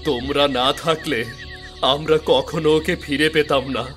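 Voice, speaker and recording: Humble anxious woodcutter played by a man, slightly high. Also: fairly even intonation, normal speed, a bit bright, faint music behind, lightly acted. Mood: grateful, apologetic. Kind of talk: animated fairy-tale dialogue.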